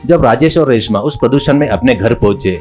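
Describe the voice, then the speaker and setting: Animated male storyteller over music. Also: mid-range voice, fairly quick. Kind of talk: scripted comedy story narration.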